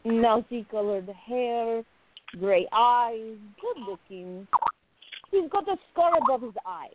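A woman playing a playful, affectionate young artist in love in a radio play, with a teasing, expressive delivery. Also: a little high, takes it slowly. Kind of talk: amateur radio play acting. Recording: thin phone-like audio, dry.

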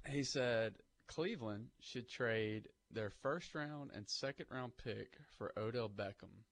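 A man on sports talk radio, reeling off statistics and weighing up a trade with a few fillers. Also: fairly low pitch. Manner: rattles off stats, many fillers.

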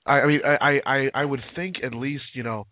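A man hosting a casual podcast chat, with his voice going hoarse, easygoing and supportive as he talks with his co-host. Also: mid-range voice, steady tone, fairly quick, no echo. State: losing his voice. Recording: tinny phone-like sound, very dry.

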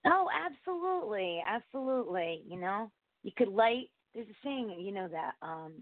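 A woman in her forties calling in to a talk show, warm and effusive, rambling a little, with a voice she says sounds young. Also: medium pitch, expressive, normal speed. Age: 40s. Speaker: caller. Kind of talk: call-in conversation. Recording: tinny phone line, dry.

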